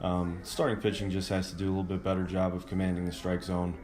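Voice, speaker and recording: A man who manages a baseball team, answering interview questions casually and haltingly, thinking aloud as he goes. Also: sits low, completely flat delivery, a little mellow. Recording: roomy, echoing sound.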